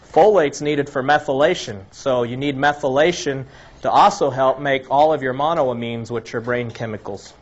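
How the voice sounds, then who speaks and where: Guy giving a health talk, bit raspy from a cold, average pitch, dull muffled recording. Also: normal speed. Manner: explanatory, lists items one by one, frequent restarts. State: slightly raspy from a recent cold. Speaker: health presenter. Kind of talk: informal lecture to a live audience.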